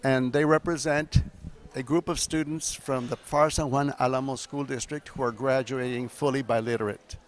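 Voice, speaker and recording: A man hosting a conference session, switching between Spanish and English and putting brisk, direct questions to students. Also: medium pitch, fairly even intonation. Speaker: event host and interviewer. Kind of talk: bilingual event announcements and interviewing. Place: conference hall.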